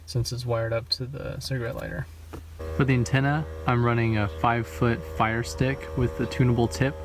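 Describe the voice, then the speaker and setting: Male DIY video host, plain and low-key, creaky voice with little inflection, casual walkthrough over noticeable background noise. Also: level tone, moderate pace. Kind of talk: casual hands-on tutorial walkthrough.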